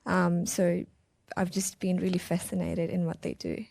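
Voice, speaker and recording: A young woman from Pakistan introducing herself to a class, soft-spoken with some ums. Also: on the low side, level tone, moderate pace, vocal fry, no echo, studio quality.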